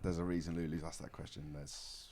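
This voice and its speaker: A man from England co-hosting a comedy podcast, playful and quick to giggle. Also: low-pitched, level tone, a little mellow. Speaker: podcast co-host.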